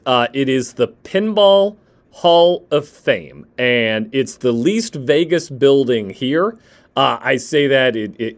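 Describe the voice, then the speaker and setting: A man hosting a live radio interview, often repeating words as he talks. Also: mid-range voice. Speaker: sports radio host, interviewer.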